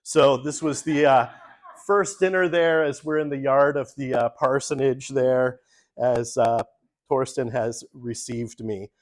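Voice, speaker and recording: Pastor giving a casual slide talk. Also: male voice, mid-range voice, measured pace. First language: English. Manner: relaxed, many ums, talks through slides. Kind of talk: informal church forum presentation. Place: church forum room.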